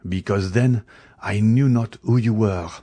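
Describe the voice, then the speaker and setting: A man's voice: lowish voice, a little slow, dark tone, husky. A man reading the part of an angry young viscount who trembles with fury. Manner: furious, tense, accusing.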